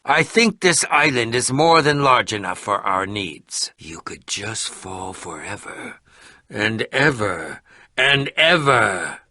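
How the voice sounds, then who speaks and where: A man voicing an excitable, eccentric and pompous adventurer-scholar for a video game, theatrical and grandiose, with dramatic pauses and sudden outbursts. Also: fairly low pitch, breathy edge, no echo, studio quality, heavily acted. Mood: grave, wry. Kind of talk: animated video game character acting.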